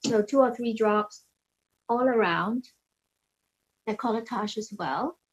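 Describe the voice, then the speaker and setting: A woman's voice: fairly high pitch, slow pace, slightly rough, poor audio. A woman from Hong Kong, a facialist and brand founder, casually explaining and demonstrating products in a hesitant way, repeating herself as she looks for phrasing. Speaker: beauty brand founder and facialist giving a demo.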